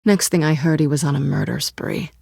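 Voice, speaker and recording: Sardonic female thief, video game dialogue, slightly low pitch, breathy husky voice, flirtatious, understated, dry studio sound. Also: brisk, a little mellow, dry recording, heavily acted. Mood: guarded, matter-of-fact.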